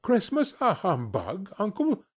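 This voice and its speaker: Good-natured young nephew, acted by a male reader: heartfelt storybook manner, unhurried, very animated, slightly rough-edged. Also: high voice, pitched above the performer's own voice, full character voice. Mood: cheerful.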